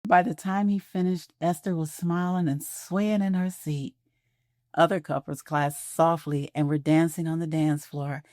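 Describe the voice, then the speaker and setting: A woman narrating an audio drama in a soft, measured storytelling voice, recorded on a close studio mic. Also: low voice, fairly even intonation, no echo.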